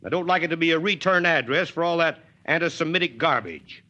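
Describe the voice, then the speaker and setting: An actor playing a principled, weary small-town Texas mayor in a folksy, drily ironic American manner, in 1940s radio drama style. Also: male voice, fairly high pitch, a little fast, bright, forceful tone. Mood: firm, combative. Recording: dull, lo-fi 1940s radio recording.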